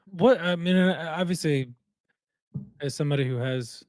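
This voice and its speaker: A man's voice: fairly high pitch, moderate pace, a little mellow. A male podcast host talks casually, stringing his questions together with plenty of fillers and restarts. The sound is dry and close, with no room echo around his voice. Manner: rambling, filler-heavy questions. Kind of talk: casual interview chat.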